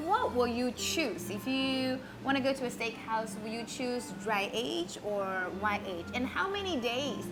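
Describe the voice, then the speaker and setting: A woman host, lively and laughing, interviewing a chef. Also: on the high side, unhurried, hardly any pauses. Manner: lively, laughs often, mixes Mandarin and English. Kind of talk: casual interview. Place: commercial kitchen butchery room, indoors. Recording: music bed under the voice. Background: background music.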